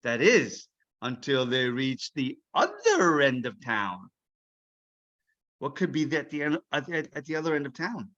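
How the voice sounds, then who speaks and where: A man reading a children's storybook aloud as its author, expressive and checking in with his listeners. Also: fairly high pitch, dull audio.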